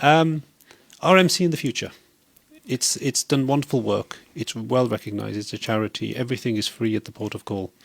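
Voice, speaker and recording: A man's voice: medium pitch, fairly quick, vocal fry, no echo. A man hosting a community radio interview, upbeat and encouraging, asking the guest questions and praising his answers. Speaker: radio host.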